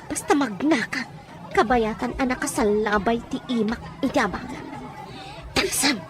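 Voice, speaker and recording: A woman's voice: a little high, moderate pace, over music, crowd noise, natural voice. A woman playing a harsh, domineering madam in an Ilocano audio drama, commanding and scornful. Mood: menacing. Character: harsh, domineering madam interrogating and threatening her captive.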